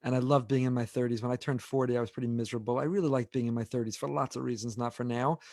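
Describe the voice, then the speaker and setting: A man in his forties talks quickly and earnestly as a podcast host, hurrying through his thoughts with frequent restarts and repeated words. His voice sits mid-range, recorded close and very dry with no room sound. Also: mid-range voice, fairly even intonation, darkish tone, dry recording. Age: 40s. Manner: earnest, quick and talkative, repeats words while building a point. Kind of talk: unscripted podcast discussion.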